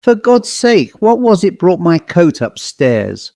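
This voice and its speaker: A frightened woman from an old ghost story, acted by a male narrator, urgent and pleading. The voice jumps high above a mellow base, in a dry recording. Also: very animated, takes it slowly, vocal fry, no echo, heavily acted. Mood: frantic.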